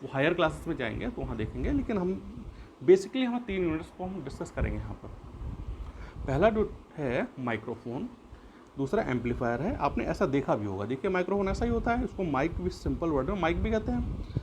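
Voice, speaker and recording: Male teacher, fairly high pitch; explains step by step with rhetorical questions, light noise behind the voice. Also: sing-song, fairly quick, darkish tone. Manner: repeats and rephrases points, poses questions then answers them. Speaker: school teacher. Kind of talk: explanatory classroom-style lesson. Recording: clear background noise.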